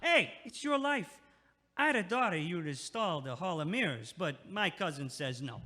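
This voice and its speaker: An actor playing a crude, taunting neighbor who blusters and leers in an audio play. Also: male voice, sits high, lively intonation, normal speed, bright, forceful tone, long reverb tail, heavily acted. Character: crude, leering middle-aged male neighbor.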